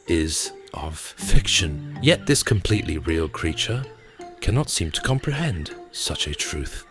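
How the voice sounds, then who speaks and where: A man doing a very deep documentary narrator. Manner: measured, mock-solemn scientific delivery. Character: deadpan male nature documentary narrator observing odd creatures. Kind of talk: parody nature documentary narration. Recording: loud music behind voice.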